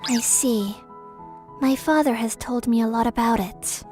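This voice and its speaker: A woman playing a reserved, awkward young fiancée in a manga dub, quietly sincere and halting. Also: fairly high pitch, faint music behind, lightly acted. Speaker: voice actress.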